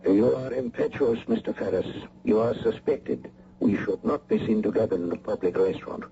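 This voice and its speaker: Man acting low, creaky, formal spymaster. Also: mellow, lightly acted. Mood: suspicious, guarded. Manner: formal, measured, guarded. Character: suspicious, commanding male spymaster, coolly formal. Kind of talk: 1980 radio drama acting. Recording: muffled old radio recording, faint noise.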